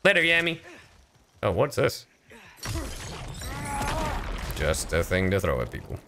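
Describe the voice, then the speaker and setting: A man chatting casually on a gaming livestream, animated and profane, swinging between loud outbursts and low asides. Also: moderate pace, vocal fry, noisy background. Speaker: streamer and voice actor. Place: indoor livestream setup.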